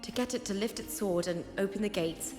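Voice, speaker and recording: An actress voicing a resolute, purposeful young woman warrior in a video game, speaking in a measured, determined way. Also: medium pitch, a little mellow, lightly acted. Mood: focused, practical. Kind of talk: scripted game dialogue, thinking aloud. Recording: echoey hall reverb under game music.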